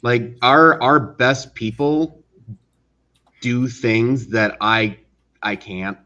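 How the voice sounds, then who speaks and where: A man chatting casually as an interview guest, halting and earnest, on a dull lo-fi recording. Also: medium pitch, fairly even intonation, sharp tone. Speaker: interview guest, studio co-manager. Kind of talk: casual chat. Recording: dull, lo-fi audio.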